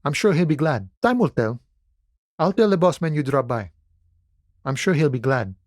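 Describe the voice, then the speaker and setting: An actor playing an easygoing, philosophical union dockworker in a video game, talking in a relaxed, wry and familiar way. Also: male voice, a little high, normal speed, no echo, studio quality. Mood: easygoing, friendly. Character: laid-back philosophical union dockworker, easygoing, wryly friendly man. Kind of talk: video game dialogue voice acting.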